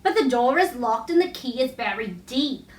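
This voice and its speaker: A woman narrator speaks for a grieving gentleman in a storybook, dreamy and full of wonder. The pitch is high. The room is echoey, with the mic a little away. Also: animated, normal speed, distant mic, close to an everyday voice. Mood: wondering resolve.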